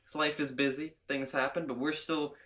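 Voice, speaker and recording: A man's voice: fairly high pitch, flat delivery, moderate pace, bright, forceful tone. Chatty man with a cold. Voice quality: nasal. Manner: chatty, laughs often, rambling. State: has a cold. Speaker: podcast host. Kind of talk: casual chat.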